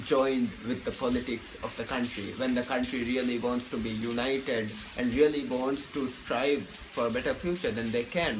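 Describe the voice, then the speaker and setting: A young man from the Maldives, a university student giving opinionated answers in a casual interview and repeating words while he thinks. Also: mid-range voice. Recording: noisy with frying sizzle behind.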